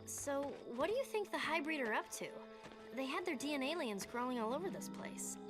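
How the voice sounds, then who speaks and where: A woman's voice: sits high, animated, fairly quick. An actress playing an earnest, worried teenage girl hero in a video game cutscene, urgent and concerned, with music behind.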